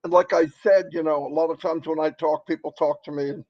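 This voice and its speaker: A man with a crackly voice presenting on a live stream, giving a rambling, folksy motivational pitch and repeating points for emphasis. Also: fairly even intonation, brisk, no room sound, highs cut off.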